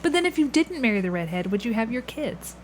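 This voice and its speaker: A woman's voice: on the high side, sing-song, fast talker, a little hiss or hum. A woman hosting a casual interview, asking questions in a conversational style. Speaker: podcast host, interviewer.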